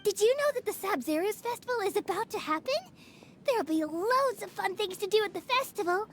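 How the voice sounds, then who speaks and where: Nosy little kid, super high and bouncy, game music under it. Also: female voice, expressive, a little fast. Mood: excited. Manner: blunt nosy questions. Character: curious excitable young village kid. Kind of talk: game cutscene voice acting.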